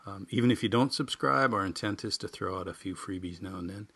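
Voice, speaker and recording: A man's voice: on the low side, brisk, creaky, bright, forceful tone, breathy edge. A man hosting a podcast, reading humorous personal essays and chatting informally in a wry, folksy, self-deprecating way. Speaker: writer and podcast host.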